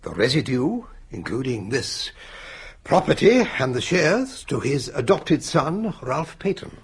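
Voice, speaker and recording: An actor playing a formal, courteous family solicitor with a measured manner in a radio drama. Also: male voice, medium pitch, very animated, husky, full character voice. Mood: formal, businesslike.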